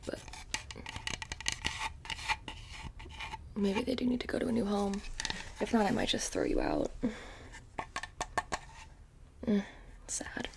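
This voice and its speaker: Girl playing a petty witch in her haunted shop, soft asmr, super creaky, says like a lot, drifts into whispers, kinda noisy recording. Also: mid-range voice, huge pitch swings, a little fast, heavily acted. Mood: dry, nonchalant. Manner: casual rambling, many "like" fillers, drifting into whispers. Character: blasé, petty immortal witch running a haunted trinket shop. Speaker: ASMR performer playing a shopkeeper. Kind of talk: soft-spoken ASMR roleplay.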